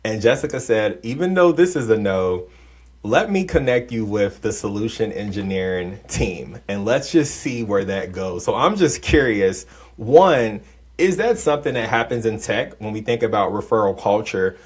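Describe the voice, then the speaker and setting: A man's voice: on the low side, lively intonation, moderate pace, slightly rough. A man hosting a podcast interview in a casual, conversational style, informal and curious as he asks questions. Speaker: podcast host interviewing a guest.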